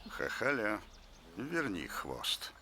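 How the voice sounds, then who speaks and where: A man voicing a suspicious, wary rustic man in an animated film, deliberate and gravelly. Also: lowish voice, a little hiss or hum, exaggerated voice. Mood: commanding. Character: suspicious, slow-spoken rustic man with a pet.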